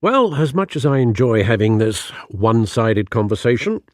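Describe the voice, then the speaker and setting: An actor voicing a loyal older butler in a video game, husky, with a dry, measured, gently admonishing manner. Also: male voice, medium pitch, expressive, dry recording, lightly acted. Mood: dry, cautioning. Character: loyal, dryly witty old male butler and mentor.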